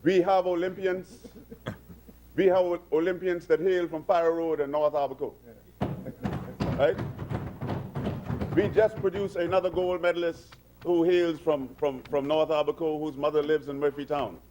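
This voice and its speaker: A man's voice: fairly high pitch, fairly even intonation, moderate pace, long pauses, a little mellow, slight background noise. A man from the Bahamas with a Bahamian accent, speaking as a minister in parliament, earnest and oratorical, with frequent restarts. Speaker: government minister addressing parliament. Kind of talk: parliamentary speech. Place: legislative chamber.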